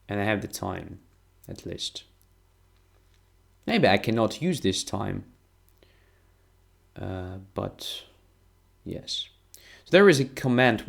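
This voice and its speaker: A man with a Ukrainian accent commentating live on chess, thinking aloud in short, halting phrases. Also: lowish voice, vocal fry. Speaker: chess streamer and international master. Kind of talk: live game commentary and analysis.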